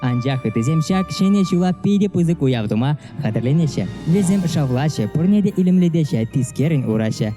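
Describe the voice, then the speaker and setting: A man's voice: on the high side, animated, a little fast, hardly any pauses. A man whose native language is Chuvash, presenting a scripted film review as a narrator. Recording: music under the voice. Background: music.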